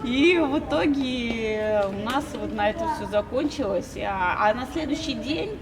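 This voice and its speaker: A woman in her thirties from Russia, telling her story as an interview guest in an animated way and laughing often. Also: a little high, unhurried. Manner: animated, laughs often, quotes people in a raised voice. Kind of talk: casual storytelling. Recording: loud crowd noise behind.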